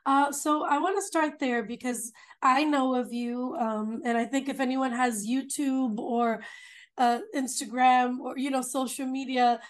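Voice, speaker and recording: A woman's voice: high voice, fairly even intonation, measured pace. A woman in her thirties hosting an interview over a video call, speaking in an upbeat, enthusiastic way. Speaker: host. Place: home room.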